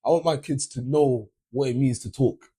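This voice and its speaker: A man's voice: mid-range voice, normal speed, breathy edge. A man with a London accent speaking emphatically in a casual group chat, often checking that he is understood. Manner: animated, emphatic, keeps asking do you know what I mean. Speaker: panel guest. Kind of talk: casual discussion.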